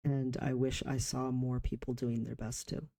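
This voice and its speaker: A man around forty speaks in a very mellow, dark voice with plenty of fry. His intonation stays fairly flat. He talks in short, reflective phrases with pauses, as in a personal vlog. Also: medium pitch. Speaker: vlogger.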